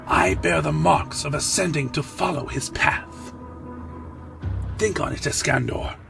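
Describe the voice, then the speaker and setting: Casting: ambitious, insinuating male vampire schemer for audio drama man acting, very creaky voice, swinging intonation. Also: on the high side, lively intonation, a little slow, over music, heavily acted. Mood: fervent.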